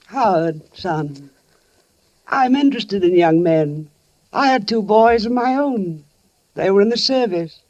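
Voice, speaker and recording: An actress playing a kindly, wary older housewife and mother in a 1940s radio suspense drama, speaking gently and carefully. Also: medium pitch, expressive, a little slow, lightly acted. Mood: gently concerned. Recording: muffled old radio broadcast recording.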